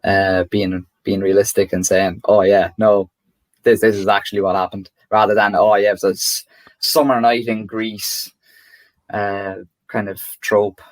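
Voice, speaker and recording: A man's voice: fairly low pitch, little pitch movement, dry recording, poor audio. A young Irish man co-hosting a podcast, rambling through his points with many restarts and poking fun at himself. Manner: rambling, self-mocking, halting restarts. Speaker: podcast co-host. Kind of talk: casual chat.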